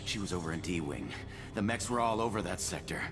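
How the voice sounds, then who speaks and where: An actor playing an irritable, defensive medical technician in a video game, argumentative and self-preserving. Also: male voice, low-pitched, expressive, brisk, long reverb tail, music in the background. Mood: defensive, agitated. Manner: testy, argumentative. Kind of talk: dramatic video game dialogue.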